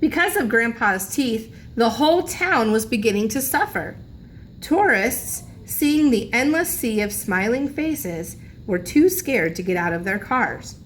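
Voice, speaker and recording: A woman's voice: a little high, expressive, unhurried, a little hiss or hum. A woman reading a children's storybook aloud like a teacher, expressively, lingering on key phrases for emphasis.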